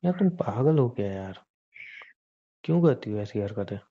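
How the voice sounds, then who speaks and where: A man's voice: lowish voice, lively intonation, moderate pace. A young man playing a hurt, angry boyfriend in an acted phone conversation, pleading and mixing English phrases into his speech. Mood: hurt, relieved. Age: young adult. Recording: dull, lo-fi phone call recording.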